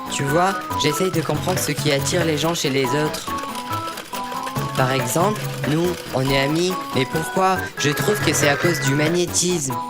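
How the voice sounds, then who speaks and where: A curious boy train in a children's cartoon, pitched a little low for a woman. He is dreamy and self-assured, explaining like a small scholar over loud music. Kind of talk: French children's cartoon dub.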